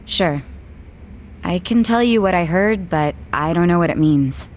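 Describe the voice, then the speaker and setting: An actress playing a blunt, plain-spoken young bar hostess in an English video game dub. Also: female voice, on the low side, animated, unhurried, darkish tone, slight background noise. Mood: frank, uneasy.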